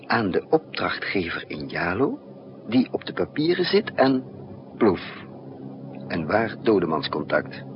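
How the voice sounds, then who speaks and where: A man's voice: deep voice, sing-song, unhurried. An actor playing a resourceful male spy in a 1960s Dutch radio drama, thinking aloud in hesitant, broken phrases. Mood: intent, puzzling. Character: resourceful male spy narrating his own risky mission. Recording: muffled, noisy 1960s radio recording.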